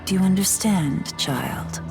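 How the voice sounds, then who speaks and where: An actress voicing an elegant, scheming fallen goddess in a video game, with a refined, condescending manner. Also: female voice, fairly low pitch, slow pace, creaky. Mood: lecturing. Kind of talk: video game cutscene voice acting. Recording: game audio with music behind.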